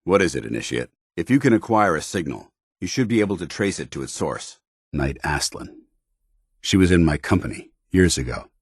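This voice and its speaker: An actor playing a stern, honorable soldier in a video game, with a gruff voice and a clipped, formal, earnest delivery. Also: male voice, a bass voice, dry recording, studio quality, exaggerated voice. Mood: grim, commanding, then grave, regretful. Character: stern, duty-bound military paladin, gruff and honorable adult man. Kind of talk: video game companion dialogue.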